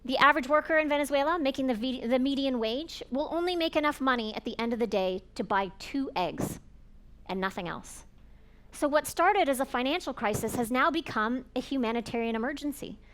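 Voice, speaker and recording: Woman speaker, fairly high voice, earnest explainer at a conference talk. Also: brisk, fairly bright tone. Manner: explanatory, earnest, addresses audience directly. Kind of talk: presentation talk.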